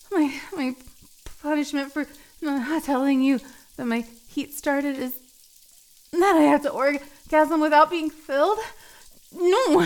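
A woman playing a submissive, needy cat girl in an intimate adult audio roleplay, halting and breathy as she pleads. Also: sits high.

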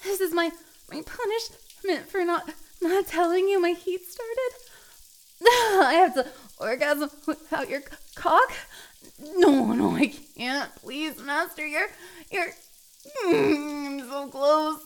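A needy, submissive catgirl, voiced by a young woman, pleads in a high, breathy voice. She speaks slowly, sighing and stammering between her words. It is an intimate adult audio roleplay. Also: expressive, exaggerated voice. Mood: desperate begging.

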